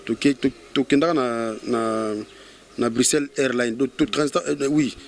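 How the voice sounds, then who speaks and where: Congolese-accented male musician guest, mid-pitched, casual French-Lingala chat with halting repetitions, faint noise behind. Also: fairly even intonation. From Kongo Central, DR Congo.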